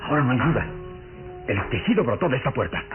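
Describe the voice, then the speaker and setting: An actor playing an earnest, suspicious police inspector in a radio drama, questioning dramatically and with nervous resolve. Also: male voice, medium pitch, very animated, fast, lightly acted. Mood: uneasy, tense. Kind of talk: old Latin American radio drama acting. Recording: muffled old radio recording with music.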